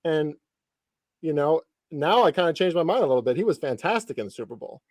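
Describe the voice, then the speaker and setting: Mellow man in his thirties hosting casually. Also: a little high, very animated, a little fast, no room sound, clean studio sound. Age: 30s. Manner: informal, lightly profane slang. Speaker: podcast host interviewing a guest. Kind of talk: casual sports podcast chat.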